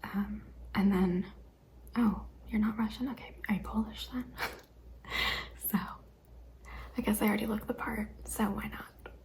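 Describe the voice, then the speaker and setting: A young adult woman hosting an ASMR questionnaire video, speaking mostly in a hesitant whisper with frequent ums and soft laughs. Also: medium pitch, steady tone, unhurried, long pauses, low-quality recording. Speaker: ASMR channel host.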